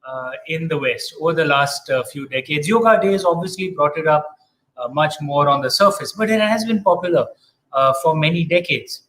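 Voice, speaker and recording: Male interviewer, slightly high voice, courteous and halting. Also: fairly bright tone. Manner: hesitant, polite, many uhs and restarts. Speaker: interviewer, host. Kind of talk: interview questions.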